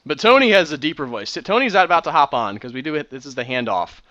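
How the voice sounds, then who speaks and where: Excitable thirtysomething man streaming a build game, bright lively voice, dry dull lo-fi mic. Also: a little high, fast, no room sound. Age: 30s. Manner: excitable, rambling. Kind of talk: casual commentary while gaming.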